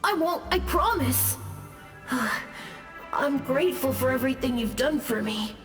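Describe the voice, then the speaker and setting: A woman voicing a gentle, wistful male ghost who sighs softly and apologizes, with a ghostly reverb on the voice. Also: high voice, expressive, a little slow, faint music behind. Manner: soft, sighing, apologetic.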